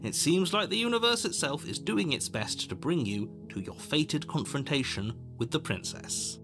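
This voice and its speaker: A man's voice: on the high side, sing-song, moderate pace, a bit bright. An actor playing an exasperated, sardonic narrator in a video game, wry, theatrical and scolding in his delivery. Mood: dryly sardonic. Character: exasperated, sardonic male narrator urging the hero onward. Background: music.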